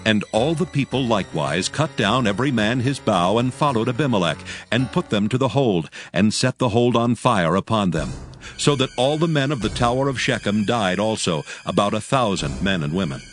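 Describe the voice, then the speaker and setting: A man with a fairly low voice narrates scripture. His delivery is solemn and measured, like formal storytelling, with faint music behind him. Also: expressive.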